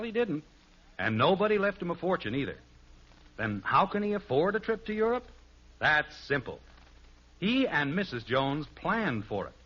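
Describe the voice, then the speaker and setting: A man's voice: on the high side, sharp tone, lo-fi sound. A male American announcer reading scripted narration in the style of 1950s radio. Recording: band-limited old radio recording. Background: music.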